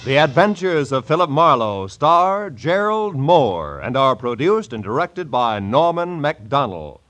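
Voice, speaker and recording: A man's voice: medium pitch, big pitch swings, a little slow, a bit bright, soft background music. A male announcer reading scripted credits in a polished, formal 1940s radio style. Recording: vintage 1940s radio broadcast.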